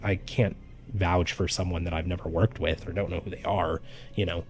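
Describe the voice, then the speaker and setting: A man giving casual art critique on a live stream, talking loosely with lots of fillers, his voice getting raspy. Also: low-pitched, little pitch movement, normal speed, darkish tone. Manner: loose and chatty, with many "like" fillers. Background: faint background music.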